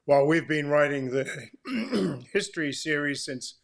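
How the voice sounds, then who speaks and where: A man's voice: on the high side, lively intonation, a little slow, vocal fry. An older man co-hosting as an author, giving practical information in an informal, matter-of-fact way. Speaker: author co-hosting a welcome video.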